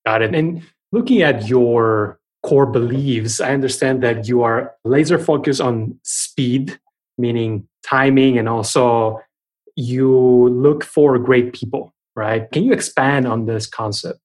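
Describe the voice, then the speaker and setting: A man's voice: medium pitch, takes it slowly, a little mellow. A man hosting an interview, putting structured questions to his guests in a conversational way. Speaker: interviewer, host.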